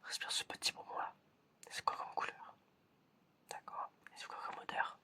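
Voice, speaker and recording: An ASMR creator whispering a casual, encouraging roleplay test, softly repeating words. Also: normal speed. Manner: casual, encouraging, informal phrasing. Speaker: ASMR creator playing an examiner. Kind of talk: ASMR roleplay, testing the listener's senses.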